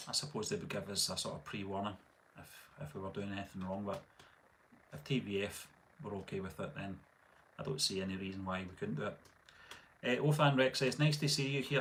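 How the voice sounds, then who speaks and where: A middle-aged man with a Scottish accent, hosting a casual live stream and reading out viewer comments in a relaxed, rambling way full of fillers and restarts. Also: on the low side, gravelly, slight background noise. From Scotland. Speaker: channel host.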